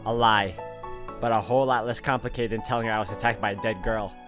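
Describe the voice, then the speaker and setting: A man's voice: fairly low pitch, fairly even intonation, quick pace, music in the background. A man playing through a visual novel, reading its narration aloud dramatically and adding casual asides. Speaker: game walkthrough player.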